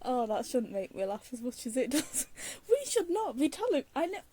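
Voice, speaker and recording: A woman's voice: sits high, very animated, normal speed, dark tone. A woman giving casual reaction commentary while playing a video game, in a giggly, hesitant, rambling way.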